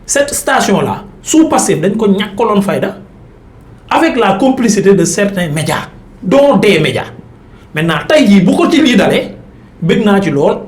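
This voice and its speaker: A man's voice: sits high, sing-song, moderate pace, echoey room. A Senegalese man speaking as a political commentator in an emphatic, insistent debating style. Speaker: political commentator on a talk show. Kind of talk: argumentative political debate, mixing Wolof and French.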